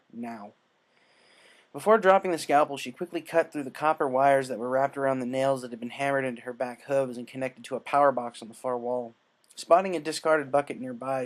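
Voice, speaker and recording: A man casually introducing and narrating fan fiction as its reader. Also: mid-range voice.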